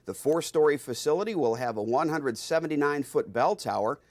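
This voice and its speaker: A man's voice: on the high side, animated, a little fast. A man reading scripted news narration as the narrator of an institutional newsreel.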